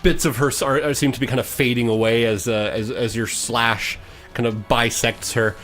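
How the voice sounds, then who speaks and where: A man running a tabletop role-playing game as game master, improvising descriptive narration in a halting way. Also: medium pitch, steady tone, fairly bright tone, faint music behind.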